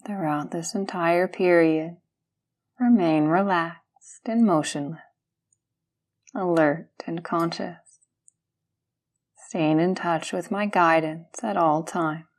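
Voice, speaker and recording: Slow, soothing woman guiding meditation. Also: on the low side. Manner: gentle, soothing, unhurried instruction with long pauses. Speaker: yoga nidra guide. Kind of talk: guided meditation.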